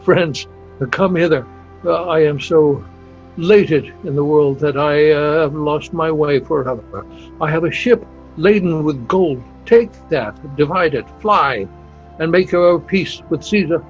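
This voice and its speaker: An amateur actor reading a shamed, despairing older general in a Shakespeare play, halting and stumbling over his words. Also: on the high side. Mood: anguished, commanding. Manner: halting, stumbling reader with exclamations. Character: despairing, shamed older male general, grey-flecked and self-reproaching. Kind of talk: amateur Shakespeare read-aloud. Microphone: video call. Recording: dull lo-fi video call, faint music.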